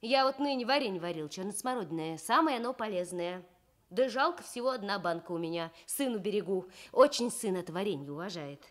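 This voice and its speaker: A woman's voice: mid-range voice, lively intonation, fairly quick, lightly acted. A woman playing a simple, kindly, talkative yard-keeper who rambles and exclaims in folksy speech for a radio story. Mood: rambling, musing. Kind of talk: Soviet 1960s children's radio storytelling.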